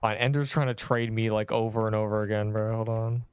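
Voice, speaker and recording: Rambling young Canadian streamer. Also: male voice, lowish voice, steady tone, a little fast, darkish tone, clear, resonant tone, dry recording. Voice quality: rambling, self-interrupting chatter. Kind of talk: casual live-stream chat while gaming.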